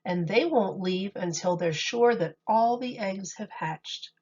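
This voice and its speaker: Slow, slightly husky woman reading to children. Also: medium pitch, muffled recording. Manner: deliberate, expressive storytelling delivery addressed to children. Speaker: teacher. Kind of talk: picture book read-aloud for young children.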